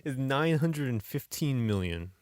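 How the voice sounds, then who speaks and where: A man's voice: mid-range voice, animated, a little slow, dry recording, studio quality. An American man, around his thirties, chatting casually as a podcast co-host. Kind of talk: casual chat.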